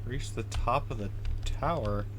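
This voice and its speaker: A man streams a video game with loose, off-the-cuff commentary. He is self-deprecating and swears mildly as he thinks aloud. Faint keyboard clicks and typing sit behind his mid-pitched voice. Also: unhurried. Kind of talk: casual live gameplay commentary. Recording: clear keyboard and typing noise.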